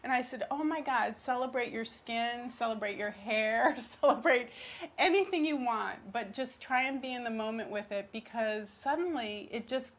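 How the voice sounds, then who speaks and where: A woman's voice: a little high, normal speed. A middle-aged woman in an interview, upbeat and laughing often as she reflects. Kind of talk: personal reflection.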